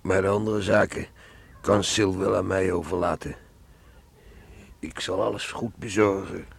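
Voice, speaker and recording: Very gravelly, sorrowful neighbour. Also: male voice, low voice, steady tone, exaggerated voice. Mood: subdued sympathy. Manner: subdued, deferential, halting. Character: sorrowful, loyal village neighbour of the grieving father. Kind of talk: Dutch radio play acting.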